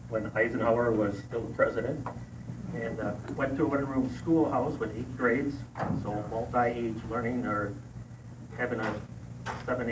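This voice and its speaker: Older Wisconsin man, relaxed forum speaker, distant noisy recording. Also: medium pitch. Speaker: school board candidate. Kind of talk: public forum speech. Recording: distant mic with room noise.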